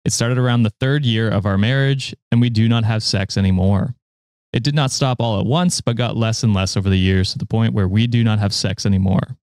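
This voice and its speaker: A man's voice: lowish voice, brisk, darkish tone, no echo. Casual young male podcast host, dry sound. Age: late 20s. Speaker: podcast host reading stories. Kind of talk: casual chat and reading online posts aloud.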